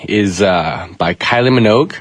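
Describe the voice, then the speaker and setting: Young male radio guest, low voice, relaxed, dry studio mic. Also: moderate pace, no room sound. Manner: easygoing, laughs a lot, informative. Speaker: music segment guest. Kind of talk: casual radio chat.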